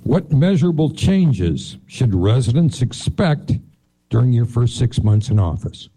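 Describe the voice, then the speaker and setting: Male forum moderator reading prepared questions, steady and formal, deep mellow tone. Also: unhurried, slightly rough.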